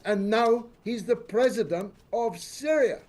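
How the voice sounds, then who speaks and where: A man's voice: extremely high pitch, measured pace, background noise. An older man giving political commentary as an interview guest, speaking emphatically with rhetorical lists and questions.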